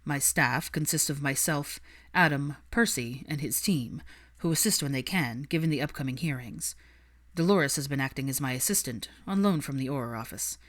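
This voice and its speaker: A woman voicing a weary, authoritative male government minister in a narrated story, speaking formally, firmly and with measured phrasing. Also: extremely low pitch.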